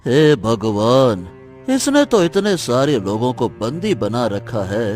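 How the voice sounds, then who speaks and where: A man's voice: mid-range voice, very animated, slow, a little mellow, faint music behind. A man playing a brave, resolute hero in a cartoon story, speaking earnestly and dramatically. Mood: alarmed, resolving. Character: brave, resolute human hero rescuing captives. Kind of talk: dramatic animated story dialogue.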